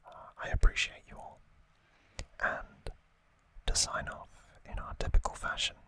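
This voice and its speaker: A man hosting ASMR in a breathy, unvoiced whisper, rambling hesitantly and a little self-deprecatingly as he chats. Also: a little slow, sharp tone, poor audio. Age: late 20s or older. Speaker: ASMR channel host. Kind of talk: whispered ASMR unboxing chat.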